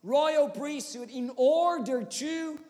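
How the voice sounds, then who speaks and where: A man's voice: very high-pitched, takes it slowly, darkish tone, long reverb tail. A man in his thirties with a Brazilian Portuguese accent, preaching a sermon and pushing his questions upward with passion. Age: 30s. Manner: impassioned preaching with rising rhetorical questions. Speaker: pastor.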